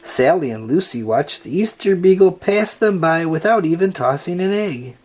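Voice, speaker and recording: A narrator reading a children's storybook aloud in a deliberate, expressive storytelling manner. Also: mid-range voice, slightly rough.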